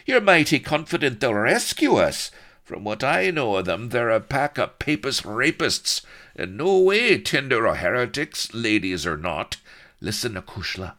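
Man putting on a sly Irish rogue, lively. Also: deep voice, moderate pace, full character voice. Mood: sardonic scheming. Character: roguish, smirking Irish male adventurer, sly charmer.

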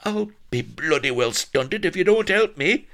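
A man's voice: on the high side, animated, measured pace, creaky, fairly bright tone, voice pushed up, full character voice. A man voicing a desperate, blustering roguish colonel who bawls and exclaims in archaic speech in an audiobook. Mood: angry, desperate.

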